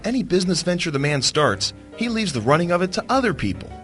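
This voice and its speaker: Arch, swooping society gentleman. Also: sits high, sing-song, fast talker, soft background music. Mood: arch, teasing. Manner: arch, mocking, flowery. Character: flippant, teasing male business partner at society dinner. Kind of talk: theatrical radio drama acting.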